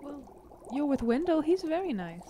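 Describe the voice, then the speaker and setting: A woman's voice: high voice, very animated, normal speed, warm tone, a little hiss or hum. A woman playing a tabletop game, bantering casually and playfully with the group.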